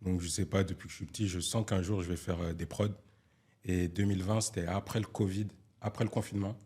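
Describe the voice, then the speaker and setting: Deep-voiced laid-back young man. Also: fairly even intonation, fast, a little mellow, husky. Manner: laid-back slangy talk. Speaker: guest, music producer. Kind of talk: casual chat.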